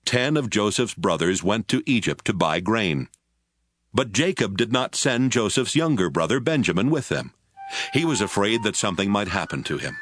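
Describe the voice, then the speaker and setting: A man's voice: fairly low pitch, very animated, moderate pace, fairly bright tone, no room sound. A man narrating a scripted audio Bible drama as its storyteller. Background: music.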